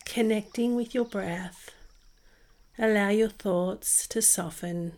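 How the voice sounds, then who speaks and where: A woman narrating a guided meditation in a soothing, gentle and unhurried manner. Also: mid-range voice. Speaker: meditation podcast host and guide. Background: music behind the voice.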